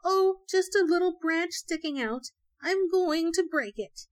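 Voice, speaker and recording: Lady doing a cheeky lil trickster hare, high voice, swoops around, mocking n loud, kids story. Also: animated, unhurried. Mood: sly, excited. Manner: loud calls and taunting sing-song. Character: sly, gleefully mocking little male hare trickster.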